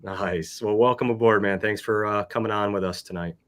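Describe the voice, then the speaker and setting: A man's voice: fairly low pitch, steady tone, fairly quick, fairly bright tone. A man hosting a casual livestream over a video call, friendly and rambling as he greets guests and reads out names. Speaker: host.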